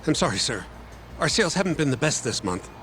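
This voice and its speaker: An apologetic male employee in a video game. He is submissive and polite, with a fairly high, lively voice and faint music behind. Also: quick pace. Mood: servile.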